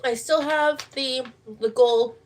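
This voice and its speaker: A woman's voice: high voice, steady tone, takes it slowly. A woman hosting a casual livestream, chatting in a rambling way and repeating her words. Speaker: livestream host.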